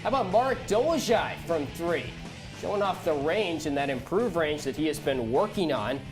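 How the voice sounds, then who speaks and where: A man's voice: on the high side, expressive, moderate pace, music in the background. A man hosting a sports broadcast and commentating on games as a play-by-play announcer.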